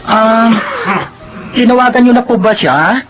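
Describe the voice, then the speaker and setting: Very high-voiced caring Filipino man, acted. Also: unhurried, noisy background. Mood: concerned. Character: respectful, caring younger relative. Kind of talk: dramatized Filipino radio-style drama acting.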